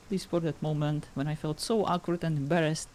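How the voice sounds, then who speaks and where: A woman's voice: sits low, steady tone, a little fast, darkish tone, slight background noise, close to an everyday voice. Woman playing hesitant, self-doubting storyteller, rain behind. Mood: embarrassed. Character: Gio, awkward, self-doubting, embarrassed young storyteller friend. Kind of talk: improvised online roleplay in a fantasy game.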